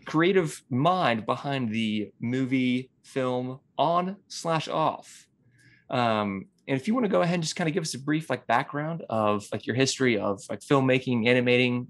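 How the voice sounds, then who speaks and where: A young adult man hosting an interview, asking loose questions full of "um" and "like". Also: medium pitch. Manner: filler-heavy, loose questions. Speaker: host. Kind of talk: casual interview.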